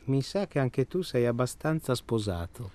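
A male actor plays a worn-out, restless man in a naturalistic radio drama. His voice sits at a middle pitch. He rambles with agitation and needles his companion with sardonic remarks. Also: lively intonation, normal speed, warm tone, lightly acted. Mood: teasing, prying. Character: weary, restless man tormented by his job, needling talker.

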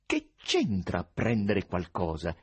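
A man voicing a gruff, suspicious housing chairman in an audiobook, questioning sternly and indignantly in halting phrases. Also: lowish voice, sing-song, warm tone, no echo, full character voice. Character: suspicious, boorish, easily angered male housing cooperative chairman.

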